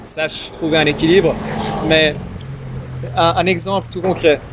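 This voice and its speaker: A shy young man from Iran, whose first language is Farsi, talks through a relaxed interview, stumbling over fillers and repeated words. His voice sits a little high. Loud city traffic and street noise run underneath. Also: a little slow. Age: young adult. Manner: timid. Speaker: interview guest, young politician. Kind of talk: casual interview conversation. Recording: noisy street and traffic ambience.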